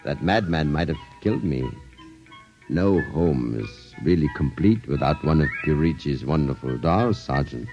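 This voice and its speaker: An actor playing a life-size living doll who poses as a suave, courteous, sinister toymaker in a 1950s radio drama. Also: male voice, rumbling low, expressive, unhurried, a little mellow. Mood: suave menace. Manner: silky, courteous, formal. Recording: dull vintage radio recording, music underneath.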